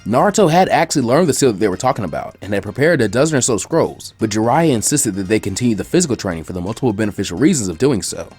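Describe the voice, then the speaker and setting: Brisk, lively male story narrator. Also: fairly low pitch, husky, soft background music. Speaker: fanfic reader. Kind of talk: scripted audiobook narration.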